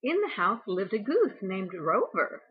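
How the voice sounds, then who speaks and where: Older woman reading slowly, very husky voice. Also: medium pitch, very animated, phone-quality sound. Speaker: grandmother reading to a child. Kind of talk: storybook reading with casual asides.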